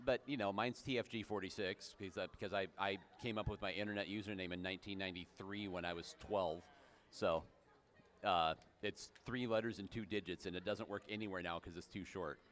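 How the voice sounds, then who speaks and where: A man in his forties doing live play-by-play for roller derby, joking between jams and getting excited during the action, his voice low and tired. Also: a little fast. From United States. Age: 40s. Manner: excitable, jokey, rising to shouts during play. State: tired low voice after a show. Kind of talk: live sports commentary.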